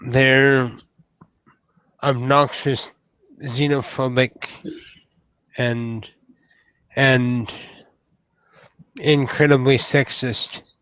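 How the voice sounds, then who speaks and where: Man playing an earnest, stammering, slow-spoken young knight hopeful. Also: mid-range voice, level tone, fairly bright tone, clear, resonant tone, no echo, rough recording. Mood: guarded, disapproving. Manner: hesitant, stammering, formal. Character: earnest, bashful young male would-be knight named Sam.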